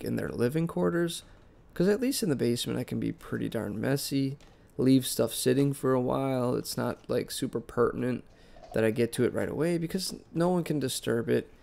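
Young male vlog host with a head cold, nasal and gravelly, relaxed rambling delivery. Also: medium pitch, brisk. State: congested, sick and nasal. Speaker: plant channel host. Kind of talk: casual voice-over vlog narration. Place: basement plant room.

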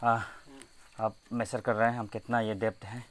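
Halting Northeast Indian man, even pitch. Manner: halting, repetitive, simple phrasing. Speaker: vlogger exploring a cave. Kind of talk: casual on-location narration. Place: outdoors at a forested cave mouth. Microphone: handheld phone.

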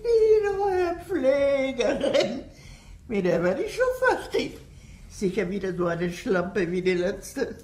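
An actress playing a suspicious, commanding, bedridden old lady in a radio play, ominous and halting. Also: on the high side, big pitch swings, darkish tone. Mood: possessive, scornful. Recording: roomy, with noticeable background noise.

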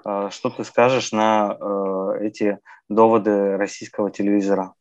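A man in his thirties interviews a guest over a video call, asking measured questions with hesitations. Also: lowish voice, little pitch movement, darkish tone, no echo. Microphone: earbud mic on video call. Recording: dry, dull video-call audio.

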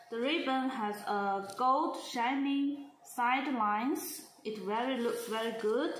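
Slow, halting woman unboxing brushes. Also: a little high, fairly bright tone, reverberant, slight background noise, rough recording. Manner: slow, halting, simple phrasing with many restarts. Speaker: brush company representative. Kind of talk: product unboxing walkthrough. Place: indoor showroom at a marble table.

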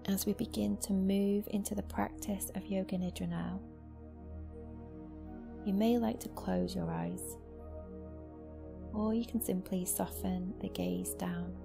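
Softly creaky, even-toned woman guiding meditation. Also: medium pitch. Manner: slow, soothing, gentle instruction with long pauses. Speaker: yoga nidra teacher. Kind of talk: guided meditation. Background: new-age music.

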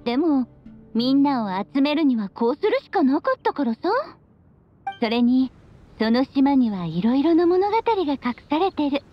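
A free-spirited witch mother for an anime game. Her high voice is breezy and teasing, yet doting. The sound is slightly dulled, with music playing behind her. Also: big pitch swings, moderate pace, lo-fi sound. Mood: playful. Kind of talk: Japanese anime game voice acting.

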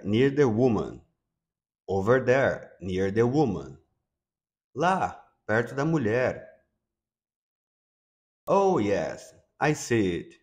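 A man from Brazil with a Brazilian accent, teaching English by repeating phrases slowly and translating them. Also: on the low side, darkish tone. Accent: Brazilian Portuguese. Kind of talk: slow bilingual lesson, repeating phrases.

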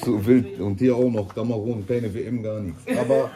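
A man's voice: fairly low pitch, fairly even intonation, normal speed, a crowd in the background. A man in his thirties vlogging casually to a handheld camera, chatty and joking with his viewers. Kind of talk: casual vlog narration to camera. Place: hair salon and city street. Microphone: handheld camera at arm's length.

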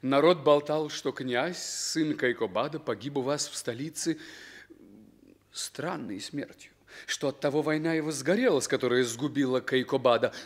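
An actor playing a proud young exiled prince in a theatrical radio fairy-tale drama, declaiming emphatically. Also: male voice, medium pitch, normal speed, lightly acted. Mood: curious, dismissive.

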